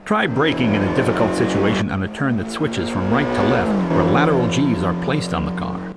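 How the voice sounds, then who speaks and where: A man giving scripted, instructional narration as a driving tutor, clearly enunciated, with racing car noise behind him. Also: fairly low pitch, lively intonation, fairly quick, over loud music. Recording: loud race car engine noise. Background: racing game car sounds.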